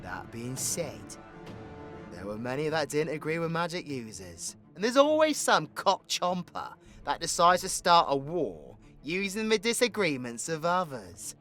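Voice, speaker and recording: A man's voice: fairly high pitch, big pitch swings, unhurried, a bit bright, faint music behind. A British man playing a cocky, foul-mouthed supervillain in an audio roleplay, with a crude, playful, conversational swagger. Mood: wry storytelling. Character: cocky, foul-mouthed male supervillain, orphaned, teasing his drinking partner. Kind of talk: audio roleplay addressed to the listener.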